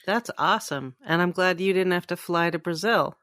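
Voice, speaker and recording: A woman's voice: lowish voice, normal speed, a bit bright, no echo, studio quality. A woman co-hosting a podcast in casual conversation. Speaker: podcast co-host. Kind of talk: casual chat.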